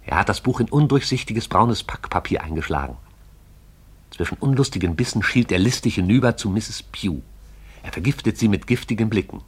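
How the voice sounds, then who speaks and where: An actor as the narrator of a poetic radio drama, telling it in a lyrical, wry storytelling manner. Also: male voice, on the low side, slightly rough.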